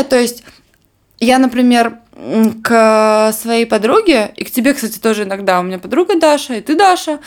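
A young woman from Crimea with a southern Russian accent, co-hosting a podcast in casual chat. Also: fairly high pitch. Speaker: podcast co-host.

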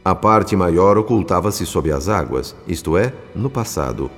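A man with a Brazilian accent playing a solemn, compassionate narrator, telling a radio drama in measured literary phrases. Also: deep voice, lively intonation. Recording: long reverb with music behind. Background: music.

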